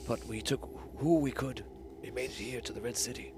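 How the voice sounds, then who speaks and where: A man voicing an old blind male elf seer, gentle and a little off, in a low, soft old-man voice. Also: brisk, noisy background, natural voice. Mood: hushed. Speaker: player voicing a character.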